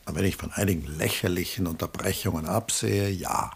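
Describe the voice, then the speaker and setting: An actor playing an embittered, lonely middle-aged artist in a mid-century German radio play, melancholy and brooding, with a husky voice and hushed drops. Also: male voice, deep voice, a little fast, full character voice. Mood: bitter, weary. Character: Julian, embittered aging artist, lonely, self-pitying father.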